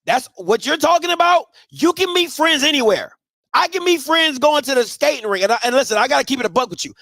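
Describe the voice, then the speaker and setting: A man in his thirties from Maryland hosting a live show, giving blunt, emphatic advice to listeners and sometimes shouting. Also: very high voice, expressive, quick pace, vocal fry, dry recording. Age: 30s. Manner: emphatic, blunt, swings between soft and shouting. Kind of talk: casual advice talk to listeners.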